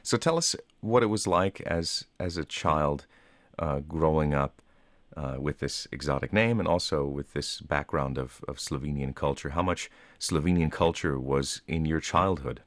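Deep-voiced male interviewer, dry sound. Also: moderate pace, dry recording. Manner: relaxed, curious, brief acknowledgements. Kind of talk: casual interview questions.

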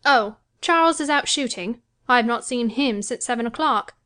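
A woman playing a self-pitying, querulous young married gentlewoman in a dramatised classic novel, complaining and self-important. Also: on the high side, normal speed. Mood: aggrieved, complaining.

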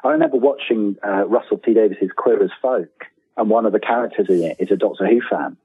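A British man in middle age speaks as a chatty interview guest over a narrow, phone-like line. His voice is low and somewhat husky, and he tells stories in a self-deprecating way, stumbling into repeated words. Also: brisk. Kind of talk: casual anecdotal interview. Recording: thin phone-like line, roomy echo.

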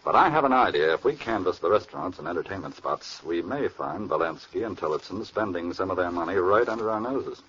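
An actor playing a resolute, methodical FBI agent in an old radio crime drama. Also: male voice, deep voice, lively intonation, brisk, few pauses, a bit bright. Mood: earnest. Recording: muffled, noisy old radio recording.

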